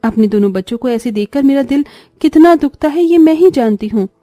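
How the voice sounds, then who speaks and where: A woman's voice: sits high, animated, brisk, dark tone, no room sound, clean studio sound, soft background music. A woman playing a poor, self-sacrificing young mother in a cartoon story, earnest and plaintive. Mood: sorrowful. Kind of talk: Hindi cartoon story voice acting.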